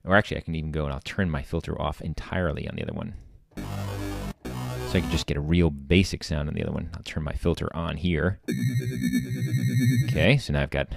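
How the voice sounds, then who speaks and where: A man hosting a casual software tutorial, talking informally and thinking aloud as he demonstrates. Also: expressive, a little fast, creaky, pauses often. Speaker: tutorial host. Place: screen-recording voice-over. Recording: loud electronic bass music behind. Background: dubstep bass and drum loops.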